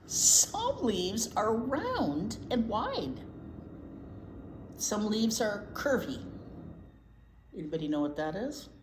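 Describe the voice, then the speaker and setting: A woman's voice: medium pitch, big pitch swings, takes it slowly, pauses often. An American woman, a storytime teacher, talking and reading to young children with simple questions and chatty asides. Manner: asks the listeners simple questions. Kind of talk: picture-book read-aloud with chatty asides to young children. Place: indoors in a library. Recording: echoey room with faint background noise.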